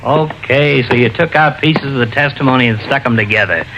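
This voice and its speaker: An actor playing a terse, jumpy homicide lieutenant in an old-time radio crime drama. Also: male voice, medium pitch, brisk, background noise, lightly acted. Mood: weary skeptical. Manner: terse, blunt. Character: blunt, weary, jumpy male police homicide lieutenant.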